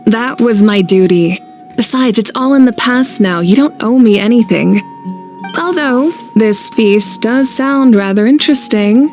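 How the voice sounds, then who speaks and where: A woman playing a composed, gracious and humble nation leader in an anime fantasy game, speaking in a measured way. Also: fairly high pitch, a little mellow, close to an everyday voice. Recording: faint background game music.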